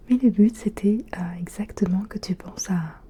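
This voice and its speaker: A woman whispering softly and closely in ASMR style, asking the listener gentle questions with hesitant pauses. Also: mid-range voice, slightly rough. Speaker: ASMR creator.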